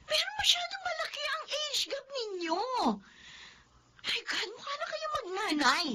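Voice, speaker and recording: Woman voicing a young daughter, very high lively voice, tearful, music behind. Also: a little slow, noisy background, heavily acted. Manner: indignant, emotional, tearful. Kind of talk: radio drama acting.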